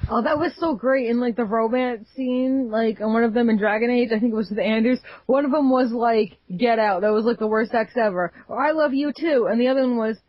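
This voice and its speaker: A woman co-hosting a casual gaming podcast, giggly and rambling, with a lot of "like" in her talk. Her voice sits a little high. The recording is dull and lo-fi, with a close, dry sound. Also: a little fast, hardly any pauses, no echo. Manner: giggly, rambling with many likes. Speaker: podcast co-host.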